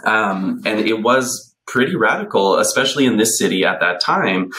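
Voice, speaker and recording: A man in his thirties, a podcast guest telling his story in a relaxed, animated way, with plenty of ums, on a video call from a small bright room. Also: lowish voice, moderate pace, low-quality recording. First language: English. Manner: animated, gesturing a lot, many ums. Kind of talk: casual conversational storytelling. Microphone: headphones on a video call.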